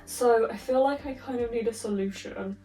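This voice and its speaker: A woman vlogging casually in a room of her flat, chatty and rambling with giggly asides, a little stuffy from a cold. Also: a little high, moderate pace, echoey room, distant mic, faint music behind, low-quality recording. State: slightly congested from a cold. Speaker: book vlogger. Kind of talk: casual vlog chat.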